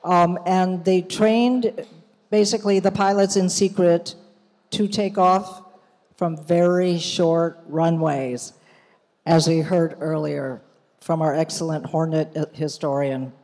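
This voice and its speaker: A woman from the United States, a journalist, giving a measured storytelling talk at a commemorative event, with some fillers and restarts. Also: on the low side, fairly even intonation, darkish tone. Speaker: journalist and guest speaker. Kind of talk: prepared public talk. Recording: reverberant hall.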